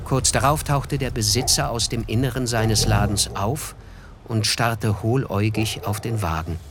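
An actor playing a reflective, observant narrator in a radio drama, telling his memories in measured, literary phrases. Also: fairly low pitch, background noise, close to an everyday voice.

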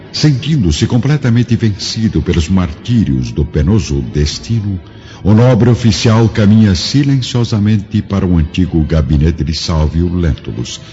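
A man narrates a radio drama in a deep, mellow voice. His delivery is solemn and theatrical, weighty with gravity. Faint music plays under a slightly dull recording.

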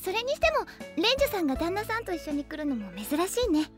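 Audio drama young lady: very high girlish voice, casual chatter, clear music underneath. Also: big pitch swings, brisk. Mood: chatty. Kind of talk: Japanese audio drama acting.

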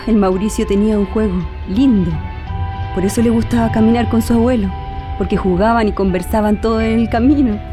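High-voiced, anxious Chilean mother played by an actress. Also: lightly acted. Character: anxious, grieving Chilean mother lost in memories. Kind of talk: radio drama acting.

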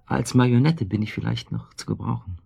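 An actor playing a guilt-worn, brooding husband in a German radio drama, speaking in a halting, bitterly ironic way with a husky, gravelly voice. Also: male voice, on the low side, mellow, exaggerated voice. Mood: weary, confessional.

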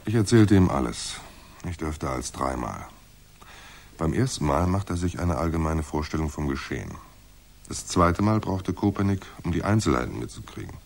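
A hardboiled private eye in a radio drama, with a very low, rough-edged voice. He is laconic and wry, telling his case and trading lines in a dry deadpan. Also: moderate pace, full character voice. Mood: dry, observant. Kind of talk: hardboiled radio play narration and dialogue.

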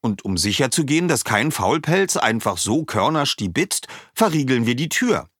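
A man voicing an earnest, anxious young villager who explains things eagerly, with a husky voice. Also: mid-range voice, very animated, normal speed, a bit bright, no echo, studio quality, voice pushed up.

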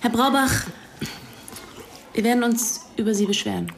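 An actress playing a weary, bitter police investigator in a radio crime drama, terse and sardonic. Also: female voice, a little high, normal speed, faint music behind, lightly acted. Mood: firm. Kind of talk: naturalistic German radio crime drama acting.

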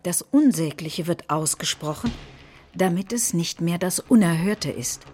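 A woman's voice: on the low side, lively intonation, a little slow, a little mellow, faint music behind. A woman acting in a radio play, reciting a politician's proclamations in a solemn, declarative manner. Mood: grave. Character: confident nationalist politician proclaiming a new Europe. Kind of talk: staged recitation of political speeches.

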